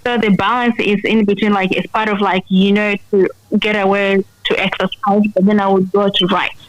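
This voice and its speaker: Woman, interviewed singer-songwriter, casual, mid pitch, dry close sound, code-switching between languages. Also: level tone, normal speed, no room sound, dull audio. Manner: mixes English with another language.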